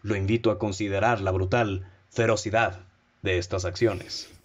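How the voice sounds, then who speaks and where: A man with a Mexican accent playing a composed, analytical detective in a radio drama, speaking in a formal, didactic, deliberate way. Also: lowish voice, fairly even intonation, lightly acted. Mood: probing, methodical. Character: analytical male detective, methodical, composed, leading his listeners. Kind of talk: radio drama acting, formal literary dialogue. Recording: dull, muffled lo-fi audio.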